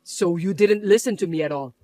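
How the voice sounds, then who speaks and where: A woman voicing a calm, patient grown son. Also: on the low side, fairly quick, no echo, close to an everyday voice. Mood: firm. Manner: calm, firm, explaining. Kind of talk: dramatized story voice acting.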